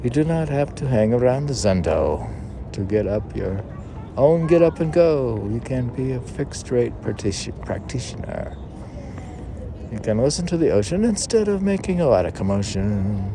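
A man reading his own poems aloud outdoors, line by line in a halting way, with casual asides. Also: medium pitch, sing-song. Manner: halting, spells out words, reads aphorisms line by line. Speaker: poet reading own work. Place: outdoors on a city museum lawn. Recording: outdoor recording with clear urban noise.

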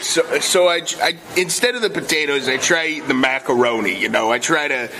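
A man playing an indignant Italian-American Bronx guy, telling his tale haltingly and repetitively in a radio comedy sketch. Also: medium pitch, noisy background, natural voice. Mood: exasperated.

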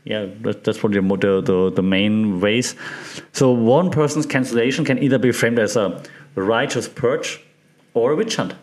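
Male podcast host, German accent, mid pitch, informal explainer, halting and conversational, often checks in with the listener. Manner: conversational, halting, repeats words, checks in with "right?".

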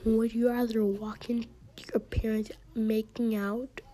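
Slow, mellow-voiced teenage girl vlogger. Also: level tone. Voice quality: high-pitched voice. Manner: rambling, drops into soft near-whispers for asides. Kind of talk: casual chat, reading out questions and answering them.

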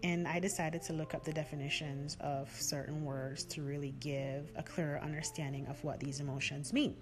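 A woman hosts her own podcast alone, speaking in a lower register than most women. Her delivery is earnest and heartfelt as she reflects and affirms her beliefs. Faint music sits underneath her voice. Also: level tone, moderate pace. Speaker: podcast host. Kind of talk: solo reflective podcast talk.

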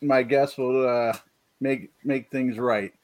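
Man, podcast host, halting, filler-heavy, reading comments, video call. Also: mid-range voice, steady tone, a little mellow. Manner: halting, many fillers, reads chat comments. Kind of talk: casual livestream chat. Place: home, rural.

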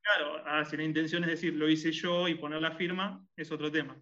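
Rioplatense male guest, bright, slightly high voice, halting, lots of fillers, dull lo-fi sound. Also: flat delivery, slight background noise. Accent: Rioplatense Spanish. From Argentina and Uruguay. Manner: hesitant, many fillers and restarts. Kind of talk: conversational interview.

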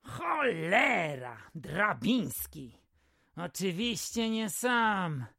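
A man's voice: high-pitched, big pitch swings, extremely slow, gravelly, pushed and bright, no room sound, clean studio sound, heavily acted. An actor playing a jovial, folksy old village grandpa who drinks with his pals in an audio drama. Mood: hearty, wary. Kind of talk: Polish audio drama acting.

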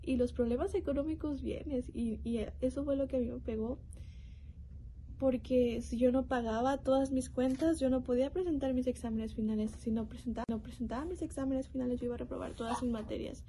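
Young woman, earnest faith testimony, higher mellow voice, faint hiss behind. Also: fairly even intonation, low-quality recording. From Mexico. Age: young adult. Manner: earnest, reflective, with pauses and restarts. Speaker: youth program speaker. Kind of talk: personal testimony.

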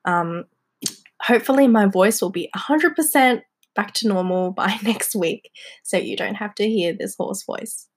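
A young woman hosting a podcast in a casual, encouraging way, her voice hoarse and congested from a cold. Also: mid-range voice, unhurried. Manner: chatty and encouraging, with fillers like "um" and "like". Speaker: podcast host. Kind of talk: casual solo podcast talk.

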